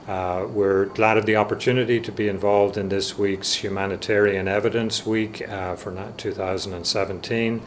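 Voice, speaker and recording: A man presenting a research project, speaking in a halting way with pauses mid-phrase. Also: fairly low pitch, flat delivery, a little hiss or hum.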